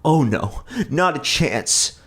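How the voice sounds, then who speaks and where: A man's voice: mid-range voice, very animated, slow, breathy edge, voice pushed up, full character voice. A man voicing a distraught mother who pleads tearfully over her injured son. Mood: anguished. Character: frantic loving mother of a hurt boy.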